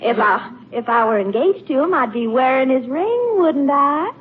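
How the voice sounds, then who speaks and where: An actress playing a coy, flirtatious, genteel belle with an American Southern accent in a 1940s radio comedy. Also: female voice, high-pitched, lively intonation, moderate pace. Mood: teasing. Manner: fluttery, coquettish. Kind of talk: 1940s radio sitcom acting. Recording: tinny narrowband 1940s radio, faint noise.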